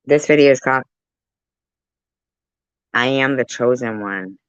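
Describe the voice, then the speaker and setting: A woman's voice: rumbling low, unhurried, clean tone, no room sound. A blunt, combative woman doing reaction commentary, talking back and swearing freely, in a dull, lo-fi recording. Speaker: commentary channel creator.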